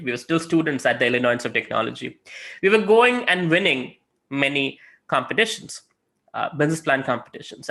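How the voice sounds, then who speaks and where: Man, 30s, non-native English speaker, bright tone, storytelling preacher with emphatic peaks. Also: mid-range voice. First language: not English. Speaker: guest speaker. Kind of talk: sermon with personal stories.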